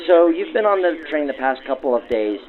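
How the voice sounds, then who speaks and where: Man, radio host interviewer, somewhat high pitch, polite, articulate, vintage narrow-band radio filter. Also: very animated, brisk. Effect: vintage 1940s radio filter. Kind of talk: on-location interview with narrated links. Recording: tinny narrow-band old radio sound.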